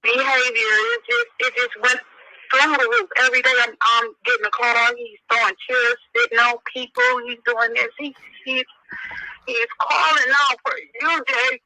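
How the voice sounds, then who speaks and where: A woman speaks over a telephone line in a high, sharp, bright voice. She is informal and insistent, pleading and repeating herself, with very little room sound. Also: animated, moderate pace, dry recording. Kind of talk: informal phone conversation. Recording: telephone call, dry and sharp.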